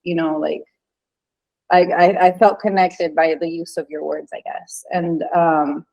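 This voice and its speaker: A woman's voice: sits low, flat delivery, measured pace, gravelly, mellow, dull audio. A woman in her thirties from Venezuela, chatting casually as a podcast guest with many fillers and restarts. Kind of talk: casual interview chat.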